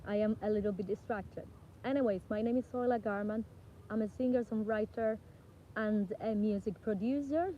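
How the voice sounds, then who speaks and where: A woman's voice: mid-range voice, moderate pace. Woman from the Dominican Republic, singer talking to camera, rambling, dull lo-fi sound. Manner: chatty, warm thanks, rambling with self-corrections. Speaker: singer-songwriter and music producer. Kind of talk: casual talk to camera. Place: outdoors with flies around. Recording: dull lo-fi sound with faint background noise.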